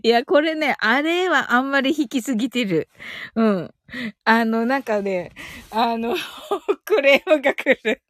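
Woman, high voice, casual live-stream host, giggly, polite, chatty, dry room-free sound. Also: animated, a little slow, no echo. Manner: giggly, breaking often into laughter; polite, thanking listeners often. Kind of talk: casual live chat with listeners.

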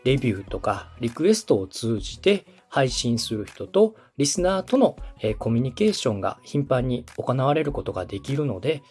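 A man in his thirties hosts a podcast with a mid-range voice that moves up and down freely. He explains point by point in polite speech, checking in with his listener, while music plays softly behind him. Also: medium pitch, big pitch swings, normal speed, hardly any pauses, slightly rough. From Osaka, Japan. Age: 30s. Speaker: podcast host and radio director. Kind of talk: explanatory podcast talk to a co-host.

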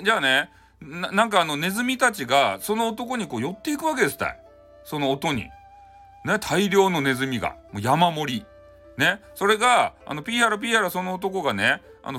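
Lively Hakata-accented man telling folk tales. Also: a little high, brisk, soft background music. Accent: Hakata (Fukuoka) Japanese. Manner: chatty, folksy, frequent asides. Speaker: storyteller, host of audio show. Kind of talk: casual improvised folk tale retelling for bedtime.

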